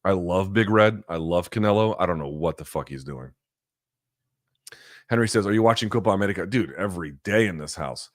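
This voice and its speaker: Man, deep voice, dry sound, casual, blunt, profane, live chat host. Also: fairly quick, no echo. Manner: blunt, profane, animated asides. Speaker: host answering viewer questions.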